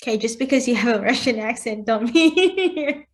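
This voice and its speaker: A woman co-hosting a podcast, chatting casually, reacting quickly and teasing her co-host with playful questions. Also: fairly high pitch, lively intonation. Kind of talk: casual chat.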